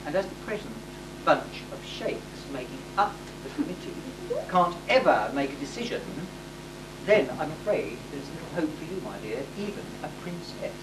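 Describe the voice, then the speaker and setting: A woman in an amateur stage pantomime playing a pompous middle-aged sheikh who is a princess's father, with theatrical, halting delivery. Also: low-pitched, sing-song. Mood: fatherly. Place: hall stage, distant microphone. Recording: echoey hall from far off, noisy amateur tape.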